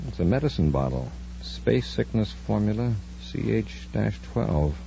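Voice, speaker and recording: Low, mellow space commander. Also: male voice, expressive, unhurried, gravelly. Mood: calm, watchful. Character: authoritative, observant space patrol commander, adult man. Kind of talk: 1950s radio adventure serial acting. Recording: muffled, noisy old radio recording with hum.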